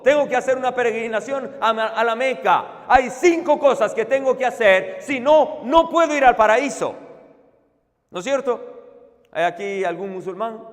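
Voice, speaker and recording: A man preaching to a conference audience, emphatic and full of rhetorical questions to the crowd. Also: extremely high pitch. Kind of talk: conference sermon. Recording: live hall recording with long reverb.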